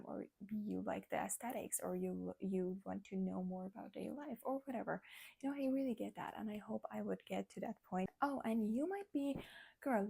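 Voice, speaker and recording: Gravelly Armenian-accented young woman vlogging. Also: mid-range voice, dark tone. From Armenian, living in Iran. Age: 20s. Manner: chatty and candid, laughs often, slips into whispers. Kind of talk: casual talk to camera.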